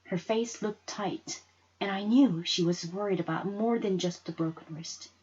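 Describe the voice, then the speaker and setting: A woman reading a story aloud with expressive narration. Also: mid-range voice, measured pace, breathy edge, highs cut off. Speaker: story reader.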